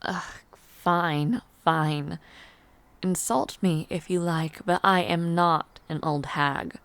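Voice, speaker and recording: A woman playing a refined, teasing young palace noblewoman in an intimate audio roleplay, speaking in a poised, formal, softly playful way. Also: on the low side, slow, vocal fry, smooth, no room sound, exaggerated voice. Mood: playfully indignant. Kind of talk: intimate audio roleplay acting, chatting to the listener.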